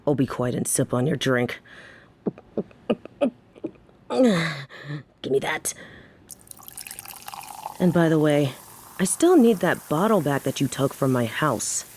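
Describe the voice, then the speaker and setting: Low-voiced, brooding mafia boss played by an woman. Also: expressive, a little slow, pauses often, a little mellow, a little hiss or hum. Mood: impatient. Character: menacing, protective female mafia boss, short-tempered but devoted. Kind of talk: scripted audio roleplay acting.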